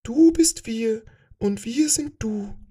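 A man voicing a serene, eerie alien collective mind in a breathy whisper for an audiobook. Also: extremely high pitch, expressive, slow pace, mellow, pitched above the performer's own voice, full character voice.

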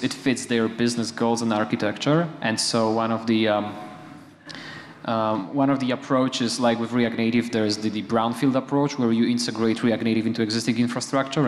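Young male panelist, steady monotone delivery, lots of fillers, handheld mic, long hall reverb. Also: medium pitch. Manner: hesitant, many fillers and restarts. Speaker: panelist, framework contributor. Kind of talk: conference panel discussion. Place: conference stage, large hall. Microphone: handheld microphone.

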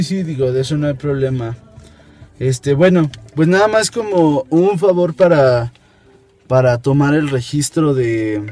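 A young man with a Mexican accent improvising a phone prank in a halting, hesitant way, recorded inside a small car. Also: medium pitch, warm tone. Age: young adult. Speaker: prank caller, video host. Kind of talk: improvised prank phone call and casual chat. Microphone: camera at arm's length.

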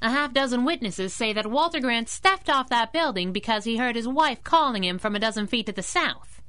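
Brash, animated wisecracking young crimefighter gal. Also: female voice, a little high, a little fast, no echo. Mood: tough, needling. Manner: sassy, slangy, tough-talking. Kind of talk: 1930s radio drama acting.

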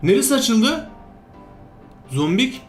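A man giving playful, excitable game commentary, full of exclamations and repeated commands. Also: extremely high pitch, takes it slowly. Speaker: gaming video host. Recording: roomy echo, music underneath. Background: background music.

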